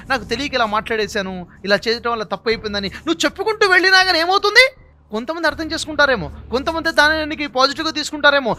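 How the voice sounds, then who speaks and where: Guy doing a wise guru in a moral tale, bright forceful voice, quick, teaching firmly and repeating himself. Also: very high-pitched, full character voice. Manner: instructive, emphatic, repetitive. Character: wise male mentor giving firm patient counsel.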